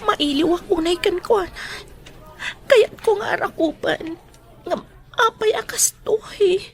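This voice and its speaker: A woman's voice: extremely high pitch, a little slow. An actress playing an emotional, longing mother in an Ilocano radio drama. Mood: shaken, longing.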